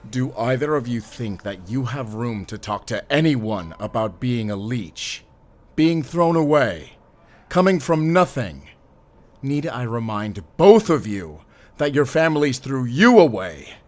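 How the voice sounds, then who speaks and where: A man's voice: medium pitch, very animated, measured pace. A man playing a stern, commanding middle-aged father in an audio drama. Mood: furious. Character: wealthy stern father, protective of his son, commanding.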